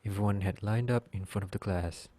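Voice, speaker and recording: A male narrator reads a scripted drama aloud. His voice is low and very mellow, and his delivery is a little halting, with stumbles over the text. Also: steady tone. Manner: halting reading with slips.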